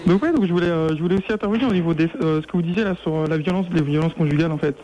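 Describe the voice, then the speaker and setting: Earnest male caller, quick and high. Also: background noise. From France. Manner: earnest, full of fillers like "en fait". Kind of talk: call-in radio chat.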